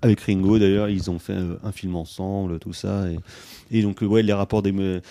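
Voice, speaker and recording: Low, mellow man, hesitant with fillers. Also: flat delivery, fairly quick, dry recording. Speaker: podcast co-host. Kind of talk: casual film chat.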